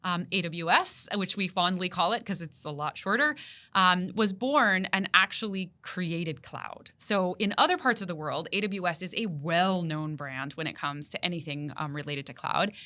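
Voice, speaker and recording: A woman's voice: on the low side, normal speed, pushed and bright. A woman guest on a podcast explaining her work as a country manager, upbeat and articulate. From not from New Zealand. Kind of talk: interview answers.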